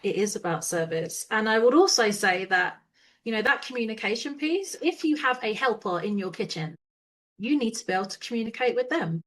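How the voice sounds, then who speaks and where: A woman, a business co-founder and interview guest, who explains her ideas in a measured, steady way. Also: a little high. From London, England. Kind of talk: casual interview chat.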